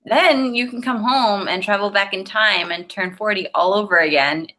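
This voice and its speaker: A woman in her early thirties, co-hosting a podcast from home over a video call, chatty and relaxed as she tells stories. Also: medium pitch, moderate pace, low-quality recording. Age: 30s. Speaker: podcast co-host. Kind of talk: casual chat. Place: home room with curtains. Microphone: video call webcam.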